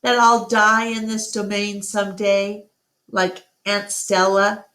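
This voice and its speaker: A woman's voice: mid-range voice, level tone, takes it slowly, fairly bright tone, pitched above the performer's own voice. A woman voicing a curious, sleepy baby elephant girl who pleads with questions in a children's storybook. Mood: worried, curious. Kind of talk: children's storybook read-aloud.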